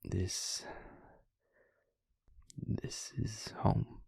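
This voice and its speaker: A man playing a gentle, self-deprecating, protective vampire in an intimate audio roleplay, speaking in a gravelly, breathy voice with a halting, softly teasing delivery. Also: no echo. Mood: soft, wry.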